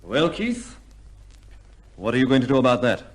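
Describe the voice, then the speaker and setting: An upper-class man of shrewd, assured temper in a vintage radio play. His voice is medium in pitch and very expressive, moving between fatherly questioning and wry command. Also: normal speed. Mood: wry, triumphant. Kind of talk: old-time radio drama acting.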